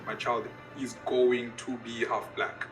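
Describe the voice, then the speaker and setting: A man's voice: mid-range voice, flat delivery, takes it slowly. A young man from Zimbabwe and South Africa telling his story in a recorded interview, laughing easily. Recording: roomy, from a distance, music underneath.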